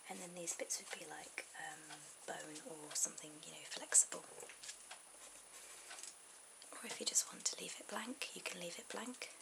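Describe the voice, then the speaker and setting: A woman softly whispering an ASMR drawing tutorial in a breathy, informal way, pausing mid-thought. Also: fairly low pitch. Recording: very noisy amateur camera audio.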